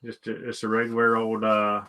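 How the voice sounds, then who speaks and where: A man's voice: on the low side, completely flat delivery, brisk, pushed and bright. A man with an American accent hosting a casual chat, asking questions in a folksy, plain-spoken way. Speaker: live stream host.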